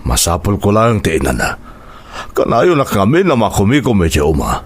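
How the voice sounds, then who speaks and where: A man's voice: fairly low pitch, huge pitch swings, moderate pace, slightly rough, full character voice. A man with an Ilocano accent playing a hot-tempered, stubborn father of a poor family in a radio drama, irritable and argumentative. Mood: irritable, grumbling. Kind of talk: Filipino radio drama acting.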